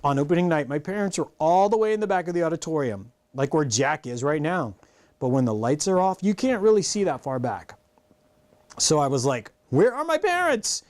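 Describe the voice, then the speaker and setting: A man's voice: a little high, very animated, moderate pace. A man voicing a chatty, bossy but helpful schoolgirl in a storybook read-aloud. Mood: gushing. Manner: chatty, rambling explaining.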